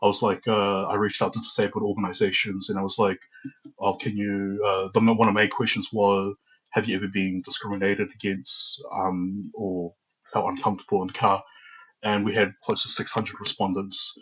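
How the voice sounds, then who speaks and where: Man, young adult, from New Zealand, podcast guest, animated storyteller, breathy low voice, dull lo-fi sound. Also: moderate pace. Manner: lively storyteller who acts out his own quotes. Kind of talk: casual storytelling interview.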